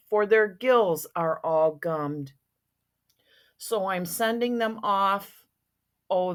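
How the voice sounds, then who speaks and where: A woman's voice: medium pitch, a bit bright. A woman voicing a small, fussy male forest guardian who scolds in a dramatic read-aloud.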